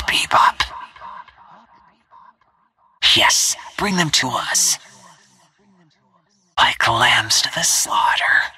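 A lethal, coolly taunting female assassin from a video game. Her voice is low and very breathy, often close to a whisper. She speaks very slowly and deliberately, with poised menace. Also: sharp tone, heavily acted. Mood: cool, composed. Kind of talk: video game character voice lines.

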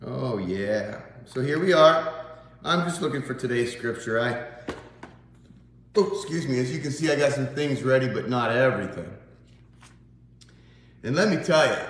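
A man in his fifties with a Southern accent, a pastor giving an informal, folksy morning devotional to a phone camera in a small church sanctuary. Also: medium pitch. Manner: folksy, conversational, animated. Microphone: phone camera on a tripod, close up. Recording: very echoey church room.

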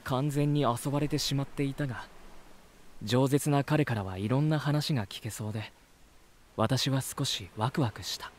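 A man's voice: mid-range voice, normal speed, slight background noise, natural voice. An actor playing a polite, curious male visitor in an anime-style voice drama, both talking and narrating earnestly. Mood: reflective.

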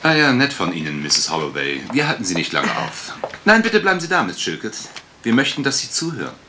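An actor playing a politely relentless English gentleman detective in a German radio crime drama, questioning with insinuation. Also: lowish voice, huge pitch swings, fairly quick, noisy background. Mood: polite, probing.

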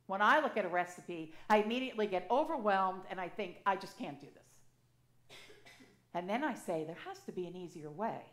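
A woman in her sixties, an assisting priest, preaching a sermon from the pulpit in a conversational, storytelling way with lively gestures, speaking into a pulpit microphone. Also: medium pitch, fairly quick. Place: church sanctuary, at a pulpit. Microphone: gooseneck pulpit mic. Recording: echoey church sanctuary.

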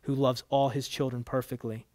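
A man voicing a wise divine woman judge in a story, measured, solemn, firm and tender. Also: mid-range voice, flat delivery, darkish tone, no echo, natural voice. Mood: tender, proud.

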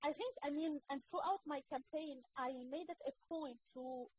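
Panel guest: woman, 30s, Lebanese accent, earnest, full of fillers and restarts, high voice, muffled laptop-mic audio. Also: moderate pace. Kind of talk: panel discussion over video call. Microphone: laptop microphone on video call. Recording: muffled video-call audio with faint noise.

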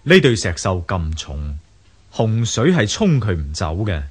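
A learned, self-assured gentleman in a radio drama. His lowish, husky voice moves expressively through deliberate explanations. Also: sing-song, full character voice. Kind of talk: Cantonese radio drama acting.

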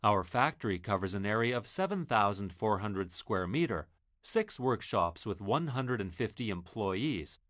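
A man's voice: on the low side, lively intonation, moderate pace, fairly bright tone, dry recording. A man narrating a scripted company promotional video in a formal presentational tone. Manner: reads company facts and lists in a formal presentational tone. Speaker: corporate voice-over narrator.

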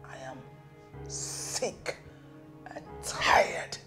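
A woman playing a stern, fed-up madam who is dismissing her maid, speaking in a low, gravelly, half-whispered voice. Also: very slow, over loud music.

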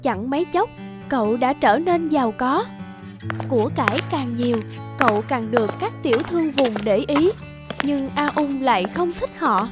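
High-voiced, expressive woman narrator. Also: normal speed. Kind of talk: scripted fairy-tale narration. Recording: music behind the voice.

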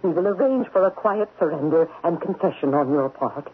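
A woman's voice: sits low, lively intonation, brisk, slightly rough, phone-quality sound. Righteous society lady, thin old radio sound. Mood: firm, reassuring. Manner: firm, moralizing, composed. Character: earnest, righteous society woman urging confession. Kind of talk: old-time radio drama acting.

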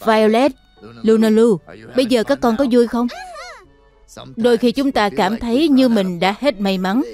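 Calm, kindly bear teacher played by an actor. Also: mid-range voice, moderate pace, faint music behind, lightly acted. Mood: reassuring. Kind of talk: dubbed children's cartoon acting.

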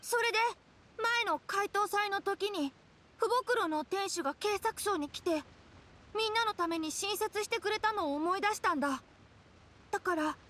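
Casting: earnest little boy for anime game, very high bright voice, music faintly behind. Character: earnest young boy worried about his missing father. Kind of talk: Japanese anime game voice acting.

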